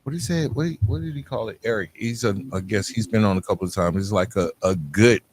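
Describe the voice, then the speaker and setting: A man's voice: fairly low pitch, fast, slight background noise. Casual American man hosting a podcast. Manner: informal, colloquial. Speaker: podcast host. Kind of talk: casual conversational interviewing.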